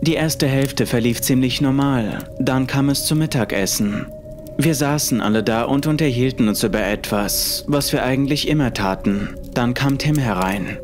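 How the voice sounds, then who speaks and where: A man narrating a scripted first-person horror story. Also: mid-range voice. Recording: music under the voice. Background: music.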